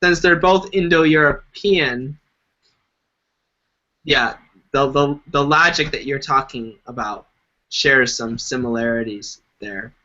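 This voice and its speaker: Bright-voiced man hosting a chat. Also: medium pitch, slow pace. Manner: hesitant, many ums while framing questions. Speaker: host. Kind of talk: casual discussion. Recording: dull lo-fi live stream audio.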